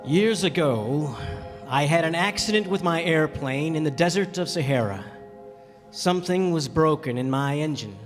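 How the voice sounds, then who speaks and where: A man playing a stranded pilot who recounts his desert encounter in measured, thoughtful radio drama narration. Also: a little high, expressive, lightly acted. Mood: earnest, wondering. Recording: echoey hall, music behind.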